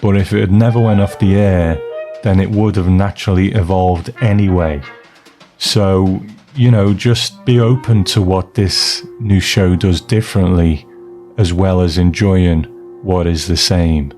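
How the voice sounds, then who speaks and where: Deep, mellow-voiced man hosting a podcast. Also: fairly even intonation, measured pace, faint music behind. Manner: rambling, self-deprecating. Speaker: podcast host. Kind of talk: casual reflective podcast talk, reading listener mail.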